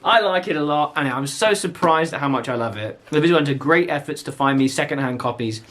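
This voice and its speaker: A man hosting a book vlog in a casual, animated, opinionated and exclamatory way. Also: on the high side, brisk.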